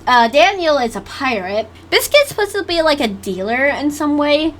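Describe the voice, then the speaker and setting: High-voiced, giggly woman. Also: big pitch swings, normal speed, slight background noise. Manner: giggly, rambling, casually sweary. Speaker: animator reviewing own old work. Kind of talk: casual reaction commentary.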